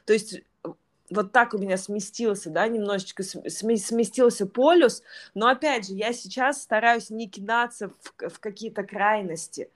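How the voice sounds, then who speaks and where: A woman's voice: mid-range voice, normal speed. A woman teaching yoga and trained as a clinical psychologist, speaking thoughtfully in an interview, with many fillers and restarts. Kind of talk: reflective interview conversation.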